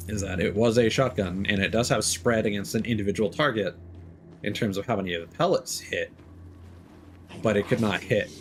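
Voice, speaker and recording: A young adult man streaming a video game, thinking aloud in casual commentary full of fillers and restarts, with sudden excited exclamations. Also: on the low side, brisk, slight background noise.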